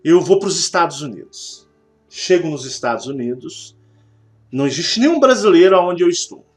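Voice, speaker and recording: A man's voice: a little high, lively intonation, moderate pace, soft background music. A Brazilian man with a Brazilian Portuguese accent, hosting a spiritual channel and explaining in a rambling way, repeating himself and using many fillers.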